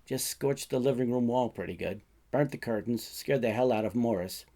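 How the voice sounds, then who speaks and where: A man's voice: medium pitch, normal speed, dark tone, natural voice. A man voicing a laid-back, drowsy, wry friend in a short story, talking in an offhand, hesitant way. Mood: wry, resigned.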